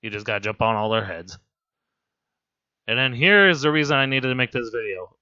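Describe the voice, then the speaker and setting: A hoarse man with laryngitis giving casual, rambling, amused gameplay commentary as a voice-over. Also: mid-range voice, fairly quick, sharp tone, muffled recording. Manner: rambling, amused and self-deprecating. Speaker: game commentator.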